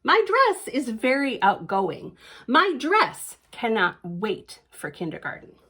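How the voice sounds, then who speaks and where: A woman's voice: on the high side, wildly swooping pitch, takes it slowly, pushed and bright, husky, full character voice. A woman voicing an earnest, slightly exasperated little kindergarten girl in a picture book, blunt and matter-of-fact.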